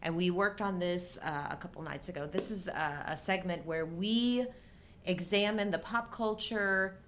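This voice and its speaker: A middle-aged woman chats as co-host of a casual live show. Her voice sits slightly low, and her banter is playful and agreeable. There is some noise and low rumble in the recording. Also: unhurried.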